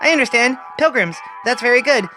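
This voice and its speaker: A man voicing a composed, curious woman villain with a polite, measured manner in a comic reading. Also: very high voice, lively intonation, pushed and bright, faint music behind, pitched above the performer's own voice, heavily acted. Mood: politely puzzled.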